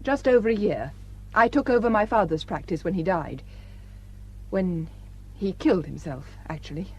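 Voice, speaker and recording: Frank, quietly sad young doctor. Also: female voice, lowish voice, lively intonation, normal speed, slightly rough, rough recording. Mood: subdued, confiding. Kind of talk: naturalistic radio drama acting.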